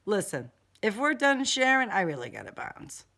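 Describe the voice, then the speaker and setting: A woman's voice: medium pitch, big pitch swings, moderate pace, vocal fry, pushed and bright, heavily acted. Woman acting a feisty, sarcastic young burglar woman. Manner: slangy, defiant, sardonic.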